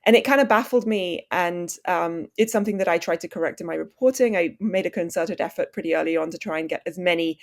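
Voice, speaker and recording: A woman's voice: lowish voice, fast talker, hardly any pauses. A woman in her thirties, raised in Switzerland, speaking as an author and journalist guest in a podcast interview, articulate and self-deprecating. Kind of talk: podcast interview, conversational storytelling.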